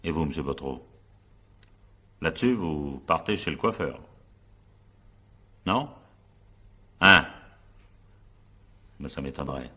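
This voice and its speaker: Husky, deep-voiced insistent magistrate. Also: male voice, lively intonation, slow, pauses often, bright, forceful tone, exaggerated voice. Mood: insistent, pressing. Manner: probing, insistent, with pointed tag questions. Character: insistent examining magistrate questioning two brothers. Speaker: examining magistrate. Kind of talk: French radio crime drama acting.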